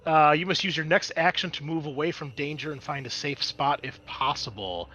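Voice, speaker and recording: A man's voice: on the high side, normal speed, sharp tone, low-quality recording. A man narrating a tabletop roleplaying game as game master in a casual, conversational way, swearing freely.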